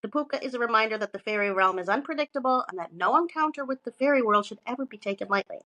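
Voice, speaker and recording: A woman's voice: on the high side, expressive, quick pace, a bit bright. A woman co-hosting a podcast, partly reading from notes and chatting casually, with sweary, self-mocking asides. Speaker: podcast co-host presenting research.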